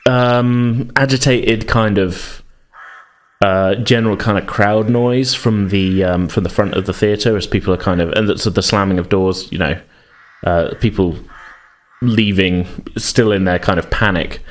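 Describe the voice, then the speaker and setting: A man's voice: on the low side, fairly even intonation, measured pace. A man narrating a tabletop roleplaying game as game master, improvising in hesitant, piecemeal phrases.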